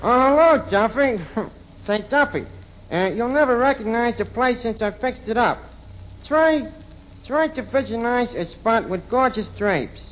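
A man voicing a bumbling, wisecracking lowbrow New tavern manager in a folksy, hesitant 1940s radio comedy routine. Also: expressive, a little slow. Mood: chummy, boastful. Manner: hesitant, folksy, mangling his words. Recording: dull, hissy vintage radio recording.

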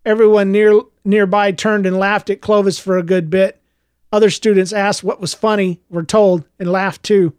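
A man with a slightly gravelly voice reading aloud from his own book, then chatting hesitantly with his listeners as a podcast host, with lots of fillers and restarts. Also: sits high, level tone, normal speed, a little mellow, dry recording, studio quality. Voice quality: a little gravelly.

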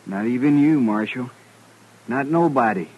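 An actor playing a frightened, simple-minded frontier townsman who talks in agitated, halting repetitions in an old radio western. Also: medium pitch, expressive, slightly rough. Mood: scared, warning. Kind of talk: 1950s radio western acting. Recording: muffled old radio recording.

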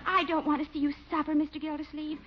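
An actress playing a naive, dreamy young secretary in a 1940s radio comedy, speaking earnestly and simply. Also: female voice, high-pitched, little pitch movement, quick pace, background noise, natural voice. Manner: earnest, simple, sweetly matter-of-fact. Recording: dull old radio broadcast.

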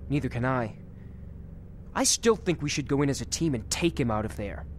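An actor playing an easygoing, loyal starship pilot in an audio drama, with a casual, sardonic delivery. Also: male voice, mid-range voice, lively intonation, normal speed, a little hiss or hum, close to an everyday voice. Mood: concerned.

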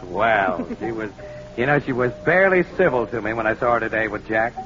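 An actor playing an amused, casual newspaper photographer in a 1940s radio drama. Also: male voice, fairly low pitch, lively intonation, brisk, natural voice. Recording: muffled old radio, music behind.

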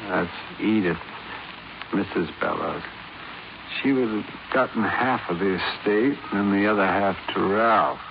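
An American actor playing a dying con-man hypnotist in a 1950s radio crime drama, confessing haltingly in a weak, gravelly, weary voice. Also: on the low side. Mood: fading, strained. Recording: muffled, noisy 1950s radio recording.

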